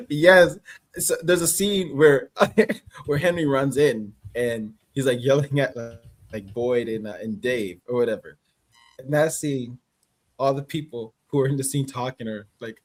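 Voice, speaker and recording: A man with a Canadian accent talks in a loose, rambling way, laughing between thoughts as a guest on a livestream. His voice is slightly husky and sits at an ordinary pitch. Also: unhurried. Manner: relaxed, rambling, laughs often. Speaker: guest actor. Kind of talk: casual livestream chat.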